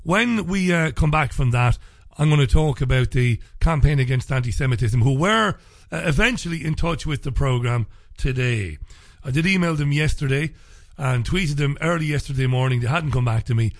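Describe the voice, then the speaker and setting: A man hosting a talk radio show, speaking casually with a slightly croaky, dry voice and frequent fillers and restarts. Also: medium pitch, expressive, normal speed, dry recording.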